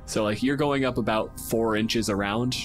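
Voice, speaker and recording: Low-voiced man running a tabletop game. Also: normal speed, soft background music. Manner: sweary, teasing, informal. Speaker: game master. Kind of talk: casual tabletop game narration.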